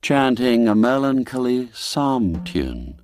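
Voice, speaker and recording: A man reading a classic tale as an audiobook narrator, in a measured, literary storytelling delivery. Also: medium pitch, very animated, a little mellow, clear, resonant tone, dry recording, studio quality.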